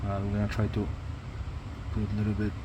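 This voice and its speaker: Guy with a lowish voice talking thru a hands-on repair, kinda halting, repeats himself, some hum behind him. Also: monotone. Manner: halting, repeats words while working. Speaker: hobbyist demonstrating a radio repair. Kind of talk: casual hands-on tutorial narration.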